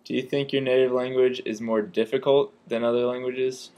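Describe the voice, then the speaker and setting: A man narrating and asking interview questions, reading deliberately from a script. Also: medium pitch, flat delivery, mellow, low-quality recording.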